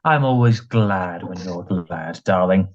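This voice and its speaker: Woman as a very deep, husky, sardonic husband. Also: slow pace, darkish tone, dull audio, exaggerated voice. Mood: dry, sardonic. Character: smug, languid, sardonic husband, lazily mocking. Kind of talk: old-time radio drama acting.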